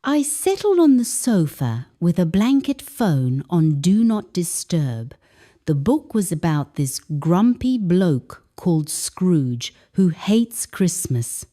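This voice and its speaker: A woman, an English teacher, calmly reading a first-person story aloud as scripted narration. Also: on the low side, slow pace.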